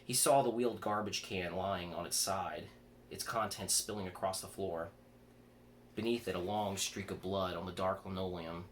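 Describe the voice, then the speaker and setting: Low-voiced man reading horror fiction, background noise. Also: fairly even intonation, normal speed. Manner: stumbles and rereads words. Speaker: author reading own fiction aloud.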